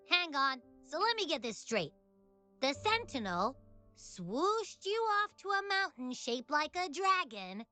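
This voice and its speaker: A bubbly girl companion from a video game. Her voice is high and bright, with playful, expressive curiosity and friendly teasing. Music plays behind her. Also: takes it slowly, full character voice. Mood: amused curiosity.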